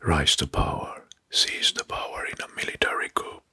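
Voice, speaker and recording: A man narrating facts as ASMR in a hushed, breathy whisper, halting and softly repeating words. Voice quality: breathy, mostly unvoiced whisper.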